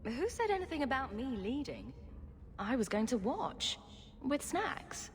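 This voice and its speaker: A woman's voice: high-pitched, big pitch swings, a little slow. A woman playing a wry, caring and self-assured heroine in a video game, with a dry delivery. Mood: joking.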